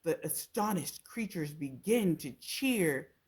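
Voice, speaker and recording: Woman, storytime reader, slightly low pitch, very expressive intonation, children's read-aloud narration. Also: slow, breathy edge.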